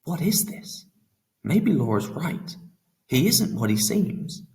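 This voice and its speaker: Man doing a blunt determined teen boy, gravelly, lively pitch. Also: slow pace, full character voice. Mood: insistent. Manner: insistent, snapping.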